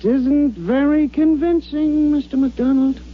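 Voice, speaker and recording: An actor playing a stern, formal and suspicious leader of space miners in a 1950s radio drama. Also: male voice, extremely high pitch. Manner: formal, deliberate, coolly suspicious. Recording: muffled, hissy 1950s radio broadcast.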